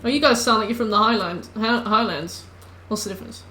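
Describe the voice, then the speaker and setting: A woman in her twenties with a squeaky voice, chatting casually with her viewers while playing a game. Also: a little fast, bright, forceful tone. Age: 20s. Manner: chatty, banters with chat. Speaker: streamer. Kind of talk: casual gameplay chat. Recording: echoey room with background noise.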